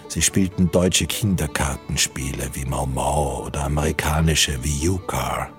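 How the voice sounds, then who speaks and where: A man narrating a literary radio drama about postwar times in a measured way. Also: a bass voice, fairly even intonation. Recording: music under the voice.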